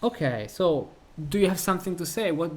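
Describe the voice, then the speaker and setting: A man's voice: sits high, expressive, fairly quick, darkish tone, slight background noise. A man from Italy speaking English with an Italian accent, talking casually about an old music book as host, with many restarts and easy laughter. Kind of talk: casual discussion of a music treatise.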